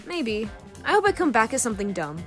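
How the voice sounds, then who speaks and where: Casting: actress as a crude, teasing spacefaring female rogue, highish and animated, dry sarcasm, audio drama. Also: a little fast, soft background music. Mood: wry, flippant. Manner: sarcastic, needling, profane.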